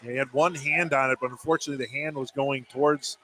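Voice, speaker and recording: A man's voice: mid-range voice, fairly even intonation, fast talker, a little hiss or hum, rough recording. A man giving conversational analysis as the color commentator on a live basketball broadcast. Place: indoor gymnasium. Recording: live broadcast with loud crowd. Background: gym crowd.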